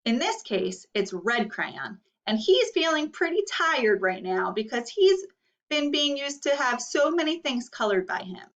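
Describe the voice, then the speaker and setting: Woman teacher, children's read-aloud lesson, instructional, high pitch, very lively intonation, dull distant recording. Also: unhurried. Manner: instructional, asking guiding questions. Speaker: elementary teacher.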